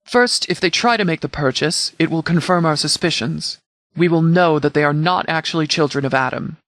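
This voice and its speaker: A man voicing a stern, commanding sheriff who leads a wasteland militia in a video game, speaking in a formal, measured, authoritative way. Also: a little high, a bit bright, no room sound. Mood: briskly instructing. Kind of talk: scripted video game dialogue.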